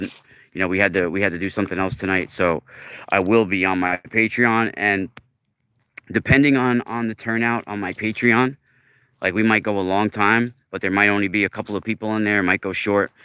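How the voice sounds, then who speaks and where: Deep-voiced man in his forties, bright and rambling. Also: a little hiss or hum. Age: 40s. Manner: earnest, emphatic, rambling. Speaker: livestream host. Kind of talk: casual livestream monologue.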